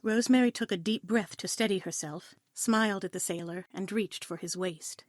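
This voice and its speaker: A woman's voice: mid-range voice, normal speed, no room sound, clean studio sound. A woman reading scripted story narration for an audio play in a dramatic storytelling style.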